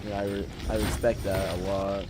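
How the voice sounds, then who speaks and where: A man doing casual gameplay commentary, rambling and halting, often repeating himself as he goes. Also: low voice, level tone, very noisy. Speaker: gamer playing and commenting. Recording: loud game music behind.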